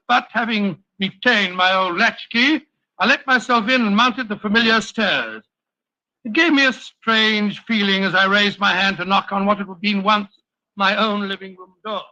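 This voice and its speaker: A genial, fussy British doctor in an old radio play. His voice is high and piercingly bright, with hearty storytelling bluster, on a muffled, dry vintage broadcast. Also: dry recording. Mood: reminiscing. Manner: hearty, fussing, chuckling storyteller. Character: genial, blustery older English doctor telling detective tales. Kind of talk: 1940s radio drama acting.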